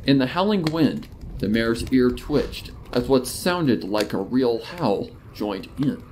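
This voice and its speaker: A man narrates a dark horror tale with a very animated, expressive delivery. His voice sits at an average male pitch, with some noise and ambient effects behind it. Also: slow pace. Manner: expressive, descriptive storytelling. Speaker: fan fiction narrator. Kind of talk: dramatic horror story narration. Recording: noticeable noise under the narration.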